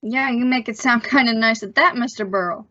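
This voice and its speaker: A guarded teenage counterboy from an old radio thriller, acted by a woman, speaks in a sharp, forward voice pitched fairly high. He is slangy and cagey, answering in clipped wisecracks. The recording is a little dull and lo-fi. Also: fairly quick. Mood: cagey, yielding. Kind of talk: old-time radio suspense acting. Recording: dull, lo-fi audio.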